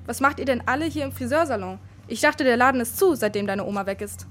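A woman's voice: on the high side, quick pace, natural voice. A woman playing an eager schoolgirl double agent in a children's radio play, earnest and insistent. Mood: confiding.

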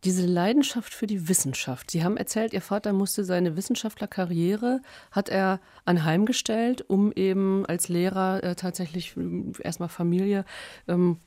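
A woman interviewer asking probing questions that gently lead her guest. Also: mid-range voice, steady tone, normal speed, no room sound. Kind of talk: radio interview.

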